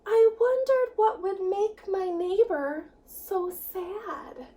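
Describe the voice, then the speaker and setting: A woman reads a children's story in a high voice, taking her time over every phrase. Her delivery is expressive and swings widely, with dramatic pauses between lines. She sounds set back from the microphone. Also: slight background noise. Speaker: children's director reading a picture book. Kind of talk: children's story read-aloud. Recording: distant microphone.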